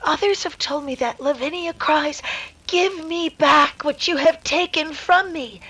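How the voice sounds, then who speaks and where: A woman playing a solemn lady who recounts eerie legends in a hushed, dramatic way, in a 1930s-style radio drama. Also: sits high, measured pace, low-quality recording. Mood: grave, mysterious. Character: Miss Nixon, eerie solemn woman telling a ghost legend.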